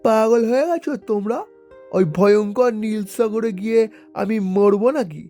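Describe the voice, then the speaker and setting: Man acting high-voiced, blunt village fishmonger. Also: fairly even intonation, normal speed, warm tone, faint music behind. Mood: indignant. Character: blunt, excitable male village fishmonger, easily offended. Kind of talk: cartoon dialogue.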